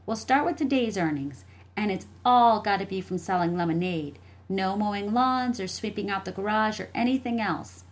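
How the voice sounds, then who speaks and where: A woman's voice: mid-range voice, normal speed, voice pushed up, lightly acted. A woman voicing a feisty, smug young schoolgirl in an audiobook, speaking in an emphatic, childlike way. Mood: bargaining. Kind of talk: audiobook character dialogue.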